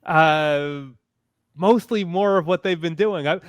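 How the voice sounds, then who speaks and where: Male panelist, higher-pitched smooth voice, unhurried and hesitant, close dry sound on a video call. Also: no echo. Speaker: podcaster and panelist. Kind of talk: casual panel discussion.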